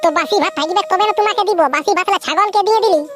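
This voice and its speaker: Squeaky, sassy village wife. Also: female voice, rapid-fire, over music. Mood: sassy, needling. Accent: Purulia Bengali. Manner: sharp-tongued, bargaining. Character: sassy, nagging, thrifty village woman. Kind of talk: comic village cartoon dialogue.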